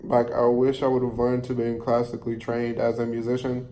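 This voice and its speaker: A man telling a personal story casually, in a halting, rambling way, often rephrasing and repeating himself. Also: mid-range voice, monotone, dark tone. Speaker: storyteller vlogger.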